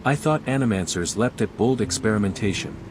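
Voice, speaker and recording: Actor as a composed, lowish-voiced envoy man. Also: animated, brisk, background noise, close to an everyday voice. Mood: calm, reasoning. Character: smooth-talking, reasonable male envoy, godlike scholar adventurer. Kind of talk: video game voice acting.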